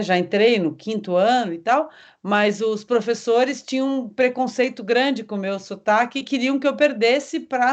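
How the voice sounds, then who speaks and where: A woman interviewing a guest, chatty and quick to laugh, with lots of small affirmations. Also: fairly high pitch. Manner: warm, laughs often, frequent short affirmations. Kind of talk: friendly interview conversation.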